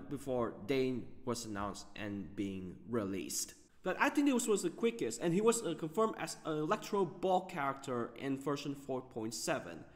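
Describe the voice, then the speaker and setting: A man hosting a gaming video, giving off-the-cuff commentary in a halting way, often stumbling over his words. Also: mid-range voice, in a large hall.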